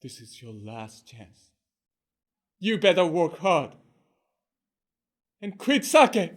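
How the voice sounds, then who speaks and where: A man voicing a fishmonger's wife in a one-performer comic tale, scolding her drunken husband in a sharp, exasperated manner. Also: a little high, very animated, slow pace, full character voice.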